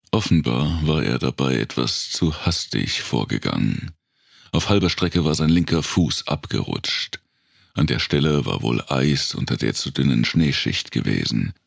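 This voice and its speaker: Guy reading a grim thriller audiobook, super deep husky voice, measured dramatic delivery. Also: no echo, studio quality. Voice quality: gravelly, raspy. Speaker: audiobook narrator. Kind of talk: scripted narration of a dark thriller audiobook.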